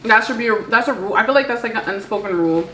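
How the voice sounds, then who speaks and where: A woman's voice: fairly high pitch, racing, pushed and bright. A young woman talking casually and conversationally, with slangy everyday phrasing. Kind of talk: casual chat. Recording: echoey room with faint noise.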